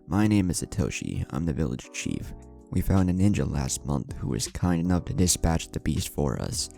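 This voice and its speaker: A man voicing a weary, kindly old village chief in a storybook narration, hesitant and measured, over music. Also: rumbling low, very animated, gravelly, warm tone, heavily acted. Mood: plain, grateful. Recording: music under the voice.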